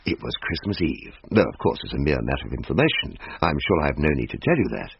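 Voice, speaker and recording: A man playing a pompous, aggrieved Victorian gentleman who narrates a ghost story in a florid British manner. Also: very deep voice, big pitch swings, fast talker, creaky, husky, no room sound, muffled recording, exaggerated voice. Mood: wry, genial.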